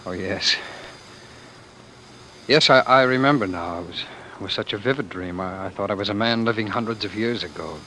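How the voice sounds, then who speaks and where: An actor playing a weary, defiant former world ruler in love, with halting, theatrical delivery in the style of old radio drama. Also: male voice, on the low side, bright, forceful tone. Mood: dazed. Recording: noisy vintage radio broadcast.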